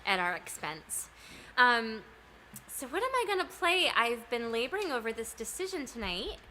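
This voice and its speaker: A woman's voice: fairly high pitch, sing-song, normal speed, sharp tone, a little hiss or hum, low-quality recording. A woman from Massachusetts, a singer-songwriter, chatting on a livestream video call in a bubbly, giggly way. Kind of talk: casual chat on a livestream.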